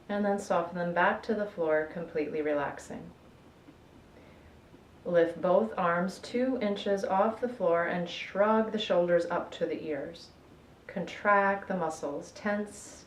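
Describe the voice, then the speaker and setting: A woman guiding a relaxation meditation in a measured, soothing way, with gentle pauses. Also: lowish voice. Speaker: yoga nidra guide. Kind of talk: guided relaxation meditation, instructional narration. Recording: echoey room with faint background noise.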